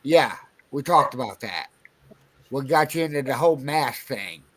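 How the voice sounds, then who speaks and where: A man's voice: mid-range voice, animated, moderate pace. A man, hosting a casual podcast chat, loose and swearing, laughing often. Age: 40s. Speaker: podcast host.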